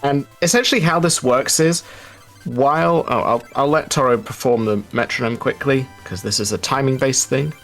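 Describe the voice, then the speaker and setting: A man's voice: mid-range voice, normal speed, a bit bright, soft background music. A man giving casual, explanatory live speedrun commentary in a chatty, wry, informal way.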